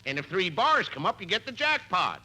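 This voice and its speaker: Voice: mid-range voice, big pitch swings, moderate pace, pushed and bright, husky, full character voice. An actor playing a polite male hotel clerk in a 1950s radio comedy. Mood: polite, dry. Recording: noisy old radio recording.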